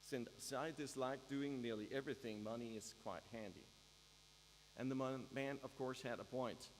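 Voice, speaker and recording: A man delivers a formal graduation speech in a deliberate manner, pausing for emphasis. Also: slight background noise. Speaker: graduation ceremony speaker. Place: large hall at a university ceremony, mains hum behind. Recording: echoey hall, humming low-quality live-stream.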